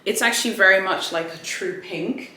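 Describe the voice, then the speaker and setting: A woman whose first language is Swahili talks through a luxury unboxing in a bright, clear voice. Her delivery is chatty and rambling, full of restarts and little fillers. The room around her rings with a noticeable echo. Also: medium pitch, normal speed. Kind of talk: casual chatty unboxing vlog. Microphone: ring light setup, camera mic.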